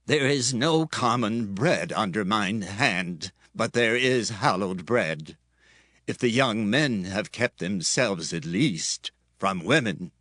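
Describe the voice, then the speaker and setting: A man playing a cautious, grave priest in a dramatized scripture reading, speaking deliberately in archaic English. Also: lowish voice, animated, fairly bright tone, dry recording, studio quality, full character voice. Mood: wary. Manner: deliberate, measured.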